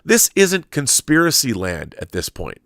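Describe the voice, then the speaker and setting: An American man hosting a live show, talking conversationally with halting repeats and emphatic stresses as he reads aloud and riffs. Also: mid-range voice, wildly swooping pitch, a bit bright, no echo, studio quality. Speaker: show host reading viewer questions live. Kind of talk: conversational live-stream commentary, reading aloud and riffing.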